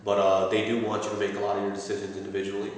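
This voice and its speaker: A man in his thirties from Pakistan, answering interview questions with many pauses and restarts. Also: fairly low pitch, monotone, far from the mic. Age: 30s. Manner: hesitant, many fillers and restarts. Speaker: interviewee, assistant store manager. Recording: echoey room, voice from afar, faint noise.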